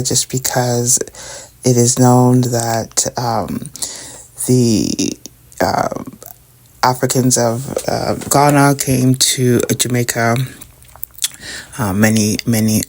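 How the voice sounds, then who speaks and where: A woman's voice: a bass voice, darkish tone. A middle-aged woman, chatting casually to her viewers while tidying up at home. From Canada. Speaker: vlogger. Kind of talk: casual chat while decluttering.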